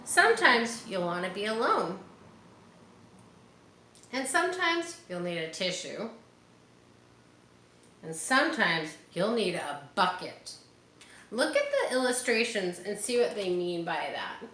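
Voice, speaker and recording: A woman's voice: medium pitch, big pitch swings, takes it slowly, pauses often, sharp tone. A woman reading a picture book aloud to children, speaking to them directly and encouragingly with casual asides. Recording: roomy echo, faint background noise.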